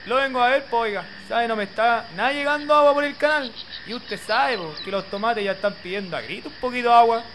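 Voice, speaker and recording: A worried, neighborly farmer from a radio play, acted by a man, speaking in a rural Chilean accent. His voice is very high and bright, his talk homely and colloquial, with faint birds and insects around him. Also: fast, few pauses. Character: peasant farmer Lucio, worried, neighborly, plain-spoken. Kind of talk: amateur radio play dialogue. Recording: light outdoor noise with birds and insects.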